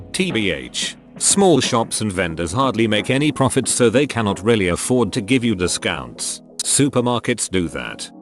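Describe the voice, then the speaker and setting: A man narrating a compilation of online posts in a scripted, evenly read style. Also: lowish voice. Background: background music.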